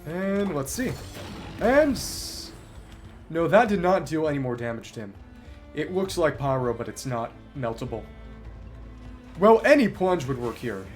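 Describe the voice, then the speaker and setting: Man with animated pitch, thinking aloud over gameplay. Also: measured pace, pauses often. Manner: analytical, talking through tactics to himself. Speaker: game streamer. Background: music behind the voice.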